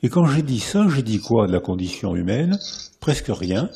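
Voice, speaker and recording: A man with a French accent giving a public lecture in a hall, lively and repetitive, often restarting his phrases. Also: lowish voice, normal speed, gravelly, a little hiss or hum. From France. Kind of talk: public lecture to a live audience.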